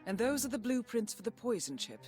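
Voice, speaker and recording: An actress playing a businesslike intelligence handler who guides an agent remotely in a video game. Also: female voice, fairly high pitch, big pitch swings, fairly quick, music in the background. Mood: focused. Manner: businesslike, instructing. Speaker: mission handler on comms.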